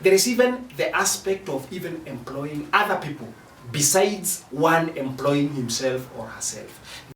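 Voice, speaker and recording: A man with a Kenyan accent speaking formally in an interview, in a deliberate, emphatic way that repeats phrases for weight. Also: on the high side, animated, a bit bright. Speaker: interviewed commentator on youth employment. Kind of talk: formal spoken interview advice. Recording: echoey, distant mic, faint noise.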